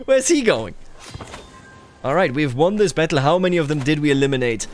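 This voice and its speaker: A man's voice: on the high side, very animated, normal speed, slight background noise. A man giving casual roleplay commentary on a strategy game, thinking aloud and talking straight to the game's characters. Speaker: gaming video host.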